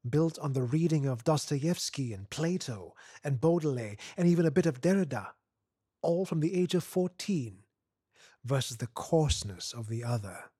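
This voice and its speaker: A man reads literary fiction aloud in a slightly rough, breathy voice at an unhurried pace. His phrasing is measured and deliberate, and the sound is dry and close, with little room around it. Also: mid-range voice, no room sound. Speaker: narrator reading a short story. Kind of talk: literary scripted narration, third-person present tense.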